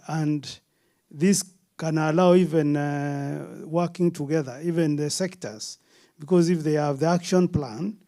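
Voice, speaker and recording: Mellow, hesitant man answering on a panel. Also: on the high side. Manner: hesitant, with many fillers.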